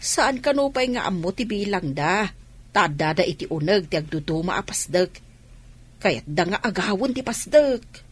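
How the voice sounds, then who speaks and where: A woman's voice: mid-range voice, animated, normal speed, husky. A woman playing a matter-of-fact, reassuring village woman in conversational Ilocano radio drama.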